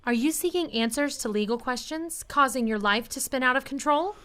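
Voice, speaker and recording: High-voiced woman reading radio commercial. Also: expressive, fairly quick, pushed and bright, soft background music. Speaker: commercial announcer. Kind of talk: scripted radio advertisement read.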